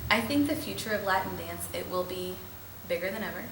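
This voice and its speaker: A woman's voice: lowish voice, lively intonation, fairly quick, distant mic, heavy background noise. A woman, a dance instructor, chatting casually on a podcast with emphatic, enthusiastic affirmations. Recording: echoey room, voice a bit off-mic, faint ambience.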